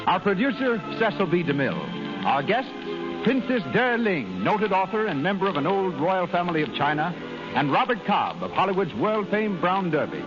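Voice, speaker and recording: Male announcer, 1930s radio, declamatory and lively, dull old broadcast sound with music. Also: high-pitched, normal speed. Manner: declamatory, emphatic. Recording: muffled 1930s radio recording, music behind.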